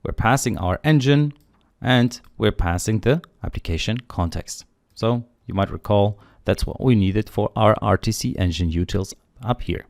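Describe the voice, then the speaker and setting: A man walks through a programming tutorial, explaining each step in a steady, matter-of-fact way as he types. His voice sits on the low side and is recorded close and dry, with almost no room sound. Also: moderate pace, vocal fry, no echo. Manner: steady explanatory, thinking aloud while typing. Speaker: coding tutorial instructor. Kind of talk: screen-recorded coding walkthrough.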